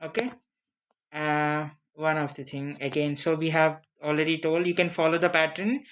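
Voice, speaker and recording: A non-native English speaker teaching an informal lesson on introductions, speaking haltingly, repeating phrases and often checking in with a questioning tag. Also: medium pitch, flat delivery, fairly bright tone, slight background noise. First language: not English. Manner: halting, repetitive, frequently checking with "okay?". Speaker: online teacher of interview English. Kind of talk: informal spoken lesson with examples.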